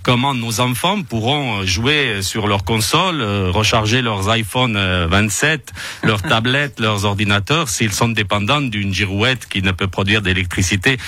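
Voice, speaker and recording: A man playing a blustering party president who speaks a language not his own, halting and stumbling indignantly through a satirical interview. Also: fairly low pitch, pushed and bright, no echo. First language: not French. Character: blustering right-wing party president struggling with French. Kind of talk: satirical political interview sketch.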